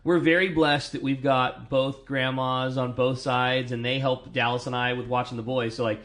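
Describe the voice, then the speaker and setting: A man in his thirties with a loud, powerful voice, co-hosting a fishing radio show and telling stories in a laughing, upbeat way. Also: medium pitch, flat delivery, moderate pace. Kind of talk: casual radio chat.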